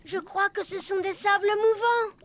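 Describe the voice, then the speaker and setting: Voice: extremely high pitch, moderate pace, very noisy. A performer playing a plucky, helpful little elf boy in a French cartoon dub, eager and spirited. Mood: matter-of-fact.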